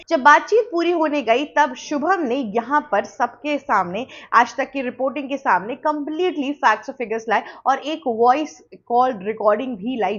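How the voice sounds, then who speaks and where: A woman's voice: fairly high pitch, fairly bright tone, lo-fi sound. An Indian woman explaining news as a presenter, conversational and emphatic, mixing in English words.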